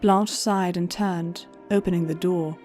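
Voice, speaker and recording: A woman's voice: lowish voice, fairly even intonation, unhurried, over music. A woman reading scripted story narration as an audiobook narrator.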